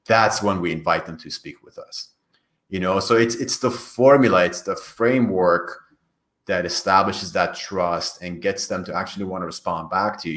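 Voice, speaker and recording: Low-voiced man, native Farsi speaker, echoey room. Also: fairly bright tone. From Iran. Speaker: career coach, podcast guest. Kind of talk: conversational interview answers.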